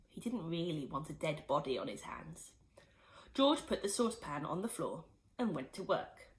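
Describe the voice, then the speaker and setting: A woman teacher reading a children's book aloud, with expressive storytelling and lively emphasis. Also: medium pitch, low-quality recording.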